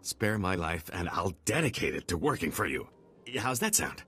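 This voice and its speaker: Deep, husky male con artist. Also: big pitch swings, normal speed, sharp tone, full character voice. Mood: pleading, persuasive. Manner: theatrical, wheedling salesman patter. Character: slippery middle-aged male con-artist fugitive, boastful wheeler-dealer. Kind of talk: video game voice acting.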